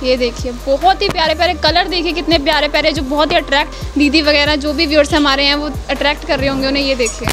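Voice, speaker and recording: A woman's voice: high voice, steady tone, fast talker, few pauses, over music. A young woman as a video reporter in a shop, talking into a handheld mic with gushing, upbeat sales patter. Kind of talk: promotional shop tour. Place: indoor wholesale shop.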